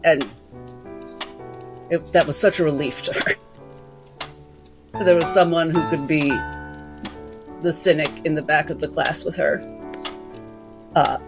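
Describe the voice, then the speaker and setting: Slow, wry woman role-playing over music. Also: sits low, fairly even intonation. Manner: reflective, wry. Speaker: tabletop game player. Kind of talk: improvised third-person character narration. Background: background music.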